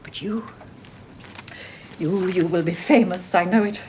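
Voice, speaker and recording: A woman's voice: on the low side, a little slow, a little mellow, a little hiss or hum, natural voice. An actress playing a doting elderly mother who rambles and tears up easily, in a radio drama. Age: older. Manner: fussing, rambling, tearful.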